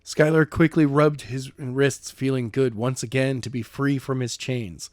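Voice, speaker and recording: A man narrating a novel chapter in a steady, plain reading voice at a mid pitch. The recording is close and dry, with no room sound. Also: unhurried, dry recording. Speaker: audiobook narrator.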